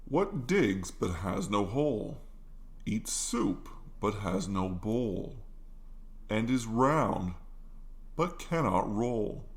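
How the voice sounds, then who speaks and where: A man voicing a solemn exam question in an audiobook story, in deliberate, measured phrases. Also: lowish voice, sing-song, exaggerated voice. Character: solemn written exam question, read as riddle.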